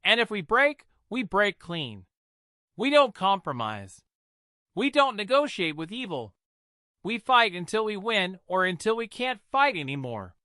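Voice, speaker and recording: A proud, defiant blind mother, acted by a male storyteller, speaking with solemn emphasis. The pitch is lifted and bright, with a lively swing through each folktale line. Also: unhurried, no echo, studio quality, heavily acted. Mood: solemn resolve. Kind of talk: dramatised folktale narration.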